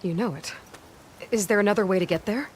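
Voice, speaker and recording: An actress playing a young hunter heroine in a video game, determined and wry, with a dry, understated delivery. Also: female voice, medium pitch, brisk, a little hiss or hum, close to an everyday voice. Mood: guarded, curious. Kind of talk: naturalistic video game cutscene acting.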